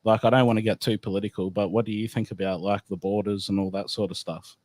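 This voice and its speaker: A man hosts a loose, casual chat and asks questions in an offhand way, swearing freely. His voice sits on the low side. The sound is dry, with no room around it. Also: flat delivery, fast talker, darkish tone, no room sound. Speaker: host.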